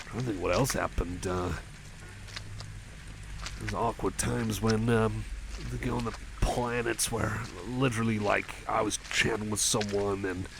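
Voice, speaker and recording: Shy cyborg pal played by a man for ASMR roleplay: low, gravelly, stammering and self-deprecating, over noticeable background noise. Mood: nervous. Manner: hesitant, stammering, self-deprecating, eager to please. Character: shy, rambling, friendly male cyborg companion. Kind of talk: ASMR roleplay, casual storytelling.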